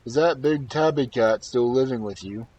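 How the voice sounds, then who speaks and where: A man voicing a wary storybook troll who calls out loudly from deep in the forest. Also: close to an everyday voice, low-quality recording. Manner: loud, drawn-out calling.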